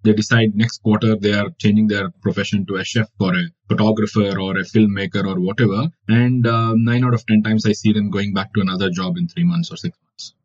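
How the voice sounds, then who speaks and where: Indian man, native Kannada speaker, lowish husky voice, thoughtful explainer, muffled lo-fi interview audio. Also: steady tone, a little fast, few pauses. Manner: reflective, explanatory, many fillers. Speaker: wildlife photographer guest. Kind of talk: interview answers. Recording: dull lo-fi audio.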